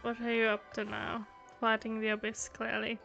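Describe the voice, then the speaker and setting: Fairly high-voiced woman commenting on gameplay. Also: monotone, normal speed, gravelly, a bit bright, muffled recording, soft background music. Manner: informal, self-deprecating, thinking aloud. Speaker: game streamer.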